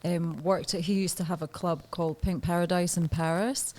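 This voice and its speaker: A woman's voice: lowish voice, steady tone, moderate pace, a little mellow. A woman in her thirties, a podcast guest talking candidly and hesitantly, with frequent ums and laughs. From Scotland. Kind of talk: podcast interview.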